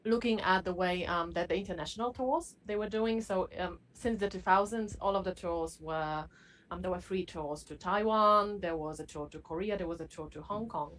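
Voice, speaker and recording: A woman giving her views as a guest in an academic panel discussion, halting often as she goes. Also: on the low side, a bit bright, poor audio. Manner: halting, frequent hesitations.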